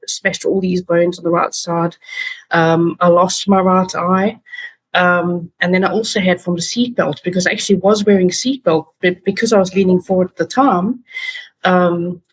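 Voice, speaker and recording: A woman with a South African accent, a patient advocate telling her story in a relaxed interview with many fillers and restarts. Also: fairly low pitch, poor audio. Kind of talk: casual interview talk.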